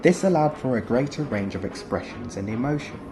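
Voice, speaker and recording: Lively male narrator over music. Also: mid-range voice, brisk. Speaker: narrator of a countdown video. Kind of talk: scripted documentary narration.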